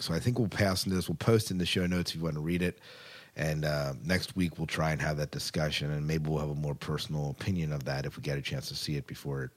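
A man hosting a podcast in a casual, chatty style. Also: very deep voice. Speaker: podcast host.

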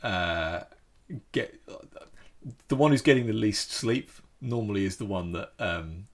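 A man's voice: lowish voice, expressive, unhurried. A man telling a story in casual chat, with frequent restarts.

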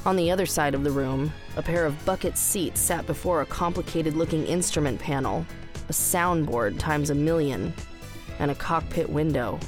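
A woman with a low voice narrates a piece of fiction. She reads from a script as the storyteller. Quiet music plays underneath. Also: moderate pace.

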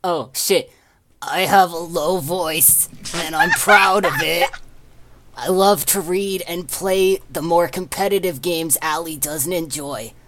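A woman's voice: fairly low pitch, slow pace, a bit bright, a little hiss or hum. A woman reading aloud and joking casually among friends as a co-host.

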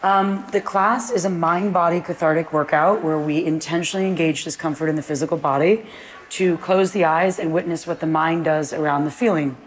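A middle-aged woman with a deep, husky voice, speaking as a guest in a live onstage conversation. She talks in long, flowing runs and laughs often. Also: steady tone, brisk, slight background noise, rough recording. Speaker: guest, fitness class founder. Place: event space before a live audience.

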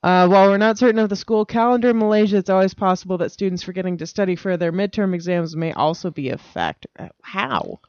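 A woman's voice: medium pitch, fast talker, few pauses, a little mellow, no room sound, lo-fi sound. A woman co-hosting a casual podcast, matter-of-fact and questioning as she reads and comments. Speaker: podcast co-host. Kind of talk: casual banter and reading odd news items.

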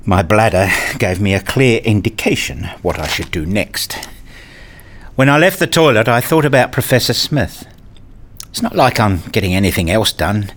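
Guy playing a grumbly wry academic in a radio play, lowish voice, telling his own boring office life. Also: expressive, moderate pace. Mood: glum, matter-of-fact. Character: frustrated, restless male academic narrating his own dreary days. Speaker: narrator and lead character. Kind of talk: first-person radio play narration and dialogue.

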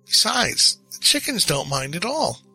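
A man voicing a tiny, polite fox of unclear sex in a storybook reading, soft-spoken and well-mannered. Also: sits high, big pitch swings, measured pace, creaky, husky, faint music behind, pitched above the performer's own voice, exaggerated voice, rough recording. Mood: polite, coaxing.

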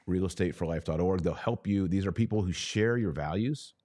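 An American man hosting a podcast, giving conversational commentary in an emphatic way with deliberate phrasing and pauses. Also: deep voice, warm tone, dry recording, studio quality. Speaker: podcast host. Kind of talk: conversational commentary answering listener questions.

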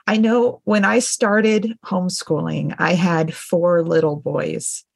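A woman's voice: fairly low pitch, fairly even intonation, takes it slowly, gravelly, slightly rough, no echo, clean studio sound. A middle-aged woman, a homeschooling mother, chatting casually as the interviewer in a conversation.

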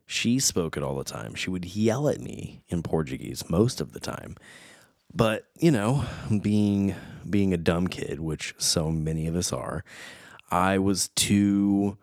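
Casual male talker, very low voice, unhurried delivery, dry close sound, reflective and rambling. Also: no room sound. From United States. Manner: rambling, reflective, many fillers and restarts. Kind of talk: casual chat.

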